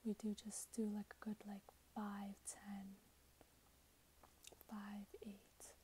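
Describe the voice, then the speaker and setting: A woman in her twenties speaking in a hushed, breathy whisper, gently role-playing a customer service clerk for ASMR. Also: medium pitch, monotone, slow pace, slight background noise, rough recording. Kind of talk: soft-spoken ASMR role-play. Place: small plain indoor room.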